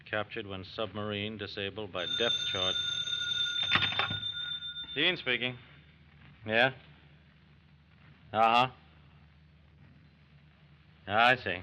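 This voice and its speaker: A low, very hard-edged male American voice, playing a brisk federal agent. He is clipped and matter-of-fact, on a dull old radio drama recording. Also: bright, forceful tone. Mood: businesslike. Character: brisk, businesslike male FBI agent on a manhunt. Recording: muffled, faintly noisy old radio recording.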